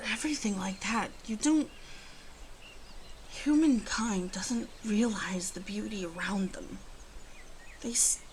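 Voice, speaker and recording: A woman acts out a guarded, proud she-wolf with a gravelly creak in her hushed voice. She speaks haltingly and slips into whispers, protective and gruffly tender in an ASMR roleplay. A faint noisy ambience sits behind her. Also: medium pitch. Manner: slow, halting, hushed, often dropping to whispers.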